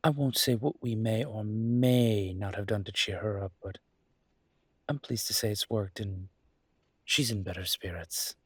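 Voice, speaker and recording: Man playing a low, husky, charming young mafia boss. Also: a little slow, dry recording. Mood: wry, fond. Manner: playful, smooth-talking, teasing. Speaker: voice actor in a roleplay audio. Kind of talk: intimate audio roleplay acting.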